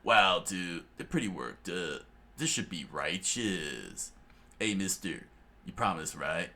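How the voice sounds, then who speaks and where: A man voicing an easygoing, excitable teenage pirate boy with a laid-back surfer-dude drawl in an adventure story. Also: deep voice, slow, full character voice. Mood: eager.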